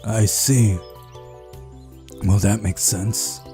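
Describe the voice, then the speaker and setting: A man voicing a lazy, put-upon, wry young man in a visual novel, with a mellow voice. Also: on the low side, steady tone, drawn-out words, faint music behind. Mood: weary, reluctant.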